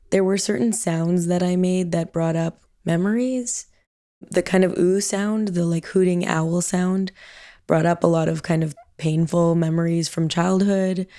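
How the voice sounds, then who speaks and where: Woman w/ a low mellow voice, pretty flat, kinda thoughtful with lots of ums. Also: no echo, studio quality. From Canada. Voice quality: low limited-range voice. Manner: thoughtful, with frequent ums and hedging "kind of". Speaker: artist and audio producer. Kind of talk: reflective interview answer.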